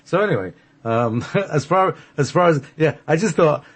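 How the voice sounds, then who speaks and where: Husky-voiced man chatting ramblingly. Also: on the high side, animated, moderate pace. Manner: rambling, hesitant, jokey. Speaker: radio show host. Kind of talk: casual conversational storytelling.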